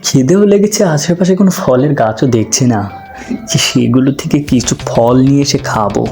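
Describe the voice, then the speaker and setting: Man acting a lazy poor villager, cartoon monologue, mid-pitched, grumbling and musing aloud to himself. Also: warm tone, close to an everyday voice. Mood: tired, grumbling.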